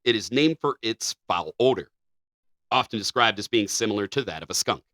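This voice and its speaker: Guy cohosting a podcast, bright crisp voice, reads stuff out kinda measured, dry close sound. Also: on the low side, no room sound. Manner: reads passages in a measured, even delivery. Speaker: podcast co-host. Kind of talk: casual chat and reading facts aloud.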